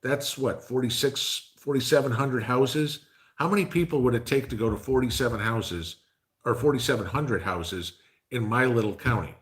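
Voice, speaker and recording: A man's voice: medium pitch, moderate pace, fairly bright tone. A man hosting a casual live stream, reading viewer comments and answering them in a rambling, conversational way full of fillers. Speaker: livestream host reading chat.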